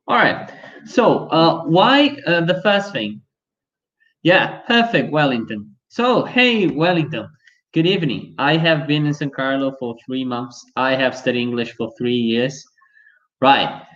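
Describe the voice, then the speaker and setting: Brazilian man, english teacher, slow unhurried delivery, lo-fi stream sound. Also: mid-range voice, fairly bright tone. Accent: Brazilian Portuguese. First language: Portuguese. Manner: chatty, many fillers like "you know". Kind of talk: live online English class. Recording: dull lo-fi stream audio.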